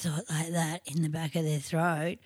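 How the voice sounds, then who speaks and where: An Australian woman co-hosting a podcast, chatting crudely with lots of swearing, in a high, trained singer's voice. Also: flat delivery, normal speed, a little mellow, no room sound, studio quality. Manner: crude, swearing, outspoken banter. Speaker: podcast co-host. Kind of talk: casual chat.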